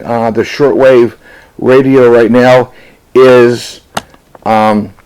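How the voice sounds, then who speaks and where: A man's voice: medium pitch, darkish tone, rough recording. A man who is a ham radio operator, casually demonstrating radio gear to a camera, folksy and full of fillers and "okay?" check-ins. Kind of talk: casual product demo to camera.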